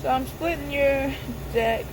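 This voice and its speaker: A man with a high voice giving a casual tarot reading at work, chatty, swearing now and then, repeating himself. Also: unhurried, noisy background. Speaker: tarot reader.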